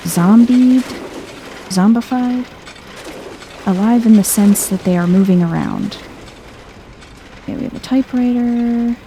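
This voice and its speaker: Casting: woman, soft-spoken game streamer, mellow with vocal fry, low-key and wry, some background noise. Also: rough recording. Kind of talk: soft-spoken ASMR let's play commentary.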